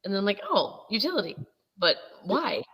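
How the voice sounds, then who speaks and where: A woman with a Minnesota accent co-hosting a casual chat, chatty and quick to laugh. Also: medium pitch, sing-song, a bit bright, husky, in a large hall. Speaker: co-host.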